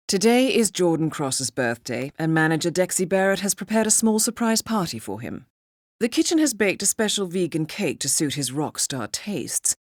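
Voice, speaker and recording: A composed British female spy handler in a video game, speaking fairly low with lively, varied intonation. She gives formal, precise mission briefings to an assassin, laced with dry understatement. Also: no room sound.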